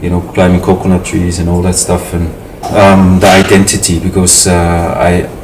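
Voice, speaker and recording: A man's voice: low voice, monotone, normal speed. A Samoan man in his thirties talking over casual conversation at home, thoughtful and hesitant, with fillers and restarts. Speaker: interviewee, family host. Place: home in a city. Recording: roomy, distant mic with noticeable noise.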